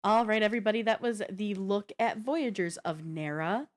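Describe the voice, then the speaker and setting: A woman's voice: medium pitch, normal speed. A woman co-hosting a gaming show, talking casually and explaining things at length with rambling asides. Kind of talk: casual explanatory chat about video games.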